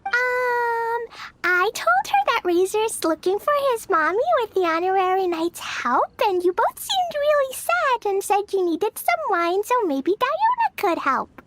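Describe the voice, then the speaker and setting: A woman's voice: extremely high pitch, very animated, measured pace, fairly bright tone, slight background noise, exaggerated voice. A woman playing an innocent, bubbly little girl in a video game, chirpy and eager to help. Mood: innocent, explaining. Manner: childlike, chirpy. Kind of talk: video game character acting.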